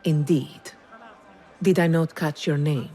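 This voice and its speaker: An actress playing a poised, shrewd and enigmatic perfumer in a video game, elegant and formal in her measured speech. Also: deep voice, warm tone, slightly rough, faint music behind, a faint crowd, exaggerated voice. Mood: poised, probing. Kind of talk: dramatic game cutscene acting.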